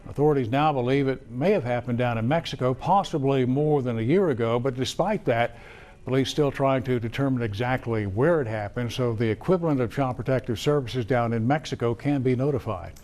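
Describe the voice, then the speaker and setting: A man's voice: medium pitch, brisk, darkish tone. An American man reading the news as a TV anchor.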